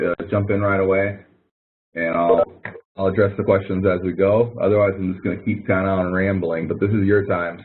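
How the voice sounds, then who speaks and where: A deep-voiced man leads an informal online class as the instructor. He explains things in a rambling, somewhat hesitant way, repeating words as he goes. The sound is narrow and tinny, like an online call. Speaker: instructor running a help webinar. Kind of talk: informal webinar walkthrough, explaining to students. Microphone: online webinar audio connection.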